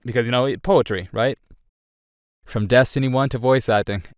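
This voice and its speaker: A man's voice: mid-range voice, normal speed, smooth, dry recording, clean studio sound. A young man giving a candid personal update on his channel, rambling with frequent restarts. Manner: earnest and candid. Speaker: YouTuber.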